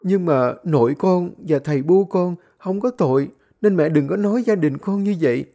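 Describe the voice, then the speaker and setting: A woman's voice: fairly low pitch, expressive, normal speed. A woman doing a meek, pleading young wife. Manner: soft, humble, pleading.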